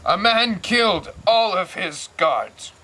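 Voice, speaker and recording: A young man playing an earnest counsellor and messenger in an amateur puppet-show tragedy, with deliberate recitation. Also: high-pitched, big pitch swings, sharp tone, full character voice. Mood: blunt.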